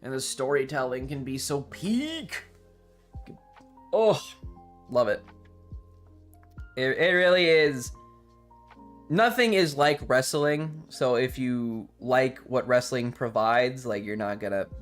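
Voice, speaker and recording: A man with a medium-pitched voice talks casually on a livestream. He rambles with enthusiasm and swears offhandedly, while music plays behind him. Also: animated, unhurried, long pauses, fairly bright tone.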